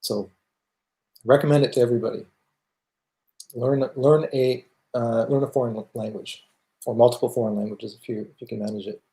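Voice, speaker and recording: A man with a Canadian accent answering viewer questions in casual livestream talk, thoughtful and halting as he goes. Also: mid-range voice, steady tone, mellow, rough recording. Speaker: music channel host answering viewer questions.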